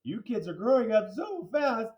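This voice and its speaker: A man's voice: very high voice, very animated, normal speed, echoey room, lo-fi sound, pitched above the performer's own voice. A man reading aloud, voicing a weepy, overbearing older woman who gushes and blubbers over her son. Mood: blubbering. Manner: blubbering, gushing.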